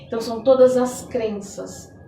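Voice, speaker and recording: A woman's voice: on the high side, fairly even intonation, normal speed, creaky, warm tone. A Brazilian woman speaking as a therapist guest in a podcast interview, explaining conversationally with everyday examples. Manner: explanatory, illustrates with everyday examples and imagined quotes. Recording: roomy echo, distant mic, background noise.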